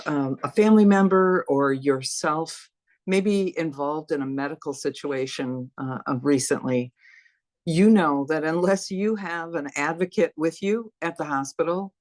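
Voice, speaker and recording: A woman's voice: sits low, a little mellow, no room sound. Woman, dean giving a talk, earnest, passionate, dry close sound, video call. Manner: earnest, passionate, rhetorical questions. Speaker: dean and guest speaker. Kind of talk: prepared talk at an online forum.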